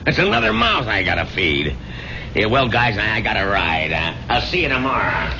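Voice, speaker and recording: A brash American truck driver and overburdened dad in a vintage radio drama. He talks in a low, swinging voice, blustery and colloquial. The sound is dull with faint rumbling noise. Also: sing-song, normal speed, gravelly. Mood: wry, grumbling. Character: brash working-class truck driver, overburdened father of many. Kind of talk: 1970s radio drama acting. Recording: dull old radio broadcast.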